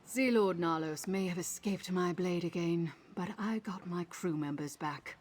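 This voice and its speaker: A woman playing a proud, vengeful mercenary pirate captain in a video game, measured and sardonic. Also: fairly low pitch, darkish tone. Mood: wry, rueful. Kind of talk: video game character acting.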